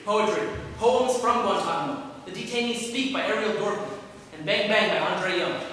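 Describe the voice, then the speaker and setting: Young guy reading earnest and measured, bright high voice, far off in an echoey hall. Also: slight background noise. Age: young adult. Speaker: reader's theatre performer. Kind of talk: competitive reader's theatre performance. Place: auditorium stage. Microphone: far room mic.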